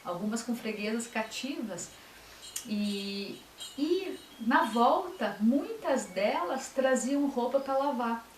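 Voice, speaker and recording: A woman's voice: a little high, expressive, slow. A woman gives a talk on local history, speaking in short phrases with many fillers. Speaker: author giving a talk. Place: lecture room. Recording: echoey room, mic from far off, faint hiss.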